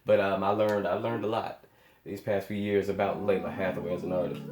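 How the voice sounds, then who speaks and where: A man's voice: low voice, flat delivery, brisk, noisy background. Echoey, emphatic man reacting casually. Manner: amazed, emphatic, repeats words and phrases. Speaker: host of a music reaction channel. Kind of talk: casual off-the-cuff reaction commentary.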